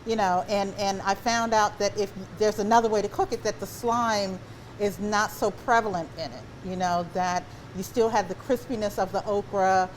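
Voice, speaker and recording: A woman's voice: medium pitch, steady tone, normal speed, slight background noise, rough recording. A woman hosting a casual on-location interview in a kitchen. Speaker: host.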